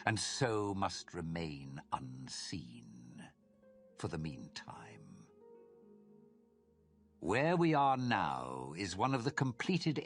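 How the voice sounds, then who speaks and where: A man's voice: low voice, fairly bright tone, full character voice. A man voicing a sinister, courtly spirit that lives inside cursed armour in a fantasy game, speaking in a theatrical, formal and suave manner. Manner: theatrical, formal, suave, drawn-out menacing phrasing. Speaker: video game character in a fantasy mod.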